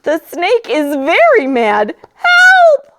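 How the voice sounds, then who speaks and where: A woman's voice: high voice, very animated, measured pace. A woman presenting a children's library storytime, chatting and reading aloud in a playful, enthusiastic way.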